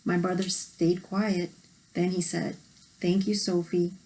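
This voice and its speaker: A woman's voice: on the low side, flat delivery, unhurried, mellow, rough recording. A woman co-hosting a read-aloud, reading a picture book expressively with short cooing reactions.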